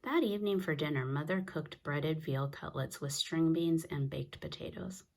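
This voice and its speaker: A woman's voice: low voice, moderate pace, vocal fry. A woman narrating a children's storybook aloud as a reader.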